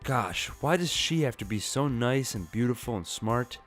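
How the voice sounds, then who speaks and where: A man's voice: medium pitch, expressive, normal speed, a bit bright, lightly acted. A man voicing an anxious, self-doubting teenage girl student in a plaintive way, for a comic recap. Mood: lamenting. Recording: music bed behind the voice.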